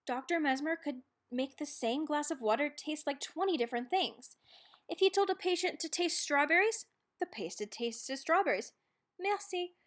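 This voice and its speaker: A woman reading a children's book aloud as narrator, expressively and dramatically, leaning on key words and pausing for effect. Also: sits high, animated, a bit bright. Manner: dramatic, with stressed words and pauses. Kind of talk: expressive scripted storybook reading.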